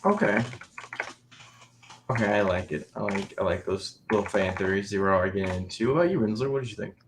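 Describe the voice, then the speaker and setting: A man with a lowish, slightly hoarse voice hosts a casual podcast. He chats loosely, laughs often and keeps tossing in "you know". Faint noise sits under his voice. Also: fairly quick. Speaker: podcast host. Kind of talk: casual chat.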